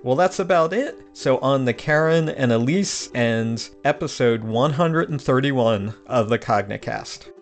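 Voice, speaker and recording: A man reading the opening announcements of a podcast in an even, scripted delivery. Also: medium pitch, measured pace, few pauses, faint music behind.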